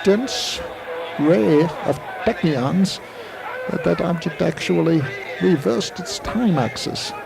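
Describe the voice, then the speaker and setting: An eccentric, secretive physics professor, played by a man in a 1980s audio drama. His male voice is highish and heavily creaky, with courtly, pedantic phrasing. A busy crowd surrounds him on the old recording. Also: takes it slowly, exaggerated voice. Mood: lecturing, pleased. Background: racetrack crowd.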